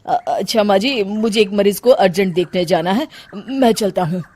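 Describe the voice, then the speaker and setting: A woman lowers her voice to play a young man posing as a doctor in a comic tale. He is placating and evasive, recorded without room echo. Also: moderate pace, a little mellow, close to an everyday voice. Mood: nervous excuse. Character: young male impostor posing as a reassuring doctor. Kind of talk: comic story dialogue.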